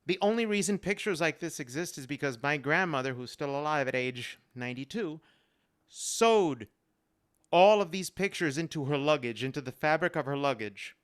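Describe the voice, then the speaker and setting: A man with a resonant, clean voice that sits a little high. He speaks as a guest on an online panel. His storytelling is earnest and personal, leaning on repeated phrases for emphasis. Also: lively intonation, normal speed, clean tone. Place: remote live-stream video call.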